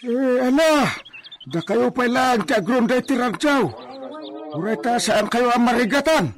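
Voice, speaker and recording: A man's voice: very high-pitched, expressive, unhurried, exaggerated voice. A man with an Ilocano Filipino accent playing a chatty, folksy old ranch hand who rambles and stammers in a comic radio drama. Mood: musing, amused. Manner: rambling, stammering, exclaiming, chuckling to himself.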